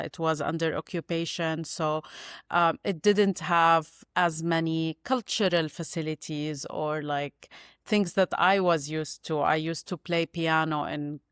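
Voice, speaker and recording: A woman in her forties, born in Syria and raised in Gaza, speaking as a podcast guest in a thoughtful, pausing way over a video call. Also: low voice, clear, resonant tone, dry recording, studio quality. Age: 40s. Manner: thoughtful, searching for words with many pauses. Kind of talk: reflective interview conversation.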